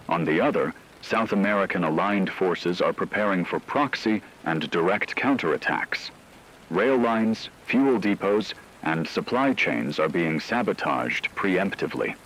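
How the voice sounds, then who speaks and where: A man playing a grave radio news anchor, delivering a scripted emergency war broadcast in a measured, solemn, analytical manner. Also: sits low, fairly bright tone, a little hiss or hum, exaggerated voice, low-quality recording.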